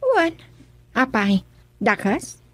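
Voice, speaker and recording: A woman's voice: on the high side, huge pitch swings, extremely slow, exaggerated voice. A woman playing vain flirty Monica. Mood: coy. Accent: Ilocano. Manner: coy, vain. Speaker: radio drama actress.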